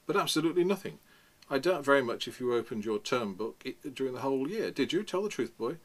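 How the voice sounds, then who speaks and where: A man's voice: medium pitch, big pitch swings, fast, pitched above the performer's own voice. A man voicing a sarcastic old male history teacher, lecturing and mocking, raising his voice for the part.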